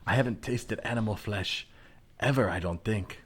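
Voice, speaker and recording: A man's voice: fairly low pitch, moderate pace, slight background noise, lightly acted. A man playing a timid, awestruck podcast host from the future in an improvised comedy sketch, whispering with wonder. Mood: awed. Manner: hushed, wondering.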